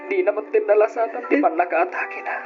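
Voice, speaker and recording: A man playing a sorrowful but steady young adult son in an old radio drama, with an Ilocano Filipino accent and an earnest, gently urging way of speaking. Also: high-pitched, a little fast, close to an everyday voice. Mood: sorrowful consoling. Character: sorrowful, steady grown son consoling his mother. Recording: muffled old radio drama, music behind.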